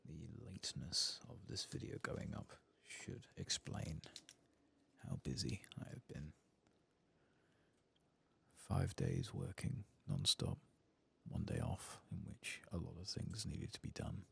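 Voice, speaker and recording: A deep-voiced man narrates his video game in a soft ASMR style. His delivery is breathy and crackly, often close to a whisper, and casually murmured. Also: wildly swooping pitch, slow pace. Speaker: ASMR gaming channel host.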